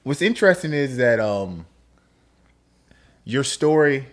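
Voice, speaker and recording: A man's voice: medium pitch, lively intonation, takes it slowly. Man co-host asking guest questions. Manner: affirming, asks guest questions with many "okay" and "yep". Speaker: podcast co-host, boxer. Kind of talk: casual podcast interview.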